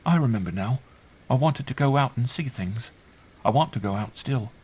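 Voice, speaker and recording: A man's voice: mid-range voice, animated, normal speed, breathy edge, pitched above the performer's own voice. A man voicing a bewildered, earnest young girl in an audiobook, trusting and fragile, speaking simply. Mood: bewildered, anxious. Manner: hushed, simple, earnest delivery. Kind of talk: audiobook character voice.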